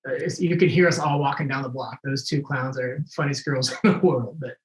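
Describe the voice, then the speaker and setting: A man's voice: mid-range voice, brisk, echoey room, low-quality recording. A man in his forties, a former Navy SEAL guest, talking in a laid-back way and laughing easily. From United States. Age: 40s. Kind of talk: casual interview chat.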